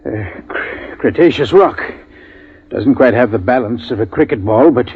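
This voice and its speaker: An actor playing an unflappable, dry-witted British hunting guide in a 1950s radio drama. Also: male voice, mid-range voice, normal speed, breathy edge. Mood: drily amused. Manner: understated, dry. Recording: dull vintage radio recording.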